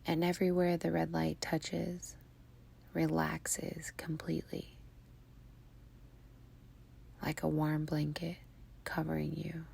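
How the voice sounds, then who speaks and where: Hushed, creaky woman guiding a slow meditation. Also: low voice, completely flat delivery. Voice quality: breathy, near-whispered. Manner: soothing, hushed, unhurried phrasing with long pauses. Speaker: meditation guide. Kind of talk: guided meditation narration.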